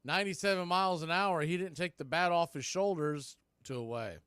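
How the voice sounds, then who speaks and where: A man hosts a live baseball radio broadcast, calling the game pitch by pitch. His voice sits a little high for a man and is recorded dry and close. He talks in clipped phrases, slipping in short asides between pitches. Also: brisk, fairly bright tone, smooth, no room sound. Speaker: baseball play-by-play announcer and show host.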